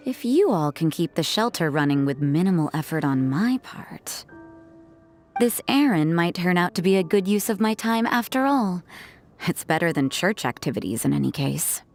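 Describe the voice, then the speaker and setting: A woman voicing an aloof, jaded young church sister in a game, with a cool, deadpan, detached delivery. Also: on the low side. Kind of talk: English game dub voice acting.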